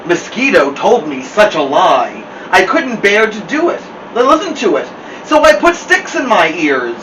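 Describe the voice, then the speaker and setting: A man's voice: high-pitched, huge pitch swings, unhurried, recorded from a distance, background noise, heavily acted. A man voicing an innocent, defensive male iguana in a storybook, protesting earnestly.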